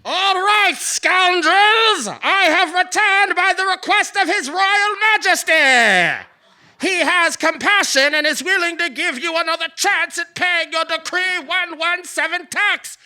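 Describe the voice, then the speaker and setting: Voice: extremely high pitch, unhurried, bright, forceful tone, heavily acted. A performer playing a pompous, indignant royal tax collector in a comic stage audio play, proclaiming with theatrical haughtiness. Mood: pompous, commanding. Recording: live theatre stage recording.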